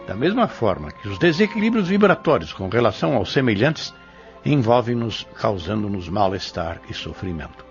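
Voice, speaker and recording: Expressive Brazilian male presenter over music. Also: medium pitch. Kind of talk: scripted, solemn didactic narration. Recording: dull audio, music behind.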